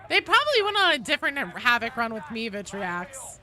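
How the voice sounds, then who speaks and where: A woman streams a video game and chats casually with her viewers. Her voice is bright and sharp, with highly animated pitch that swings into sudden exclamations. She keeps up a playful, teasing banter as host. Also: brisk. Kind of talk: casual live stream chat, reading and answering viewers.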